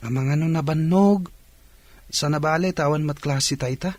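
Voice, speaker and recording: A woman's voice: sits low, moderate pace, darkish tone. A woman playing a respectful, responsible teenage older brother in a radio drama. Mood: earnest. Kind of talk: Ilocano radio drama acting.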